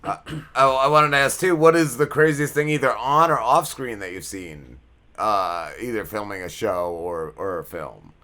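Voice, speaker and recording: A male podcast co-host puts casual questions to his guest, tripping over his words and restarting often. He laughs easily, and his voice has a bright, forceful edge at a middle pitch for a man. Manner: stumbling, restarts a lot, quick to laugh. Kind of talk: casual interview.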